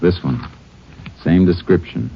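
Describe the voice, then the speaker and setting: An actor playing a dogged, laconic Texas Ranger in an old radio detective drama, talking in a terse, folksy western way. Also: male voice, low-pitched, a little slow, breathy edge, heavily acted. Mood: determined. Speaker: lead actor and narrator. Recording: muffled old-time radio recording with music.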